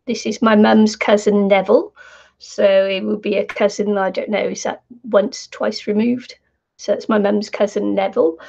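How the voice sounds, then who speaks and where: An older woman hosting a crafting live stream from home, croaky from not talking, chatty with lots of fillers and laughs. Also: mid-range voice, a little mellow. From New Zealand. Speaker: crafting channel host. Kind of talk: casual live stream chat. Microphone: computer mic on live stream. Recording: dull lo-fi stream audio.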